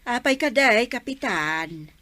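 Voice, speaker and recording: Bright-voiced Ilocano village councilwoman. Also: fairly high pitch, animated, slow pace, no echo, heavily acted. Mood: concerned. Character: practical, obliging village councilwoman who organises clean-ups. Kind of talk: Ilocano comedy radio drama acting.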